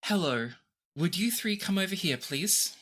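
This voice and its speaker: A woman voicing a weary, gentle older female ship's captain in a tabletop game, speaking in a measured, hesitant way. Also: lowish voice, expressive, slightly rough, voice pushed up, exaggerated voice. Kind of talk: tabletop game role-play.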